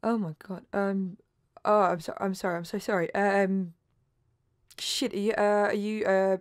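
Actress as flustered caller, very dry sound. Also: female voice, mid-range voice, level tone, a little slow, no room sound, natural voice. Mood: shocked, flustered. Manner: hesitant, stammering, concerned. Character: flustered, kindly female cold caller, increasingly alarmed. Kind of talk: naturalistic audio drama acting.

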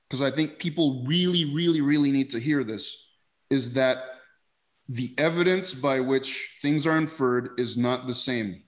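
A man in his forties hosting a panel over a video call, emphatic and casual, often repeating words. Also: mid-range voice. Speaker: host. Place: indoors, dark virtual background. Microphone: headset earphones on video call.

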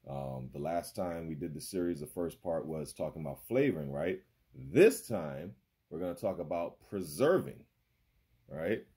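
A man's voice: deep voice, animated, normal speed, mellow, breathy edge. A man in his thirties preaching a sermon from a small room into a phone, conversational and laughing easily, often asking "right?". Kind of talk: casual sermon over a video call. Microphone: phone held close.

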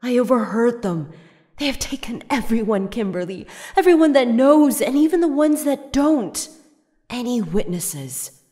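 A flustered, fearful captive in an audio drama. Her halting voice swings widely in pitch and echoes in a long reverb. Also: lively intonation, dark tone. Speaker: voice actor.

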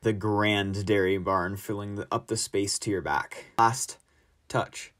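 A man's voice: lowish voice, fairly even intonation, measured pace, fairly bright tone. A young man, a student narrator, reading a scripted descriptive piece. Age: young adult.

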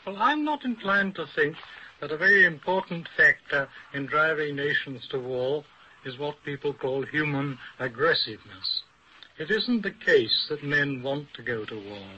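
A male professor explains his points on an old radio panel, deliberate and didactic. His voice is fairly high, very bright and cutting, with a husky edge. The recording is dull and lo-fi. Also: expressive, slight background noise. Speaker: academic expert guest, professor.

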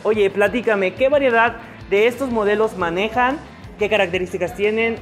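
An upbeat young Mexican man with a high voice hosts a gear review, asking eager questions. Light music plays under him in a shop. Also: normal speed. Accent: Mexican Spanish. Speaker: host. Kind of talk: product review chat. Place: motorcycle gear shop, indoors.

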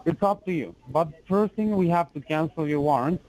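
A man posing as an officer on a phone call, talking formally and insistently with halting restarts. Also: fairly high pitch, a little mellow.

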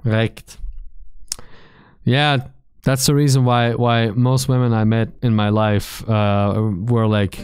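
A man in his thirties streaming from a small gaming room into a boom mic, chatting casually with his viewers, joking and swearing freely. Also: flat delivery, slow pace, a little mellow, clear, resonant tone, soft background music. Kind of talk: casual chat reading live chat. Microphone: studio mic on boom arm, headphones.

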